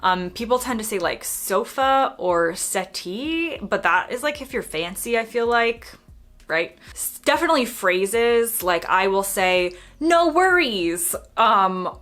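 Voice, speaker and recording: A woman's voice: mid-range voice, sharp tone. A young American woman from Florida, chatting casually and upbeat to camera in her vlog. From United States (Florida). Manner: chatty, upbeat, lots of fillers. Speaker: vlogger.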